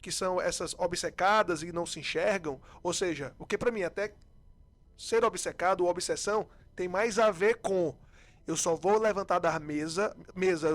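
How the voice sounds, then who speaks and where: A man's voice: high voice, moderate pace, dry recording. A man with a Brazilian accent hosting a podcast, explaining his point in casual, emphatic speech and often rephrasing himself. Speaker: podcast host.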